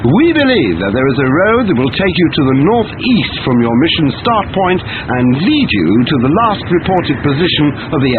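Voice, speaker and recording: A formal military commander briefs an agent over a tinny radio. His voice is high and animated, through clear static noise. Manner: formal, instructing. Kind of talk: scripted mission briefing. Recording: tinny, noisy radio transmission.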